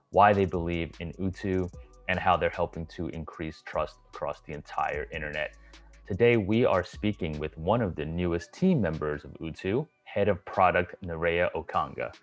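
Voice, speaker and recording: A man hosting a casual video call interview, friendly and halting with many fillers. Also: low voice, dull audio. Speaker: host.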